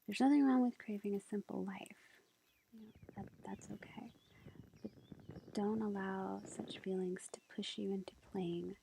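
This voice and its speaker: Woman playing a creaky whispering sweet witch girlfriend. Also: mid-range voice, fairly even intonation, lightly acted. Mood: calm, reflective. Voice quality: soft, breathy, creaky whisper. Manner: slow, gentle, reassuring. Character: sweet, gentle witch girlfriend reading tarot cards. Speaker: ASMR roleplay performer. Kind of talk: whispered ASMR roleplay tarot reading. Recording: faint background noise, lo-fi.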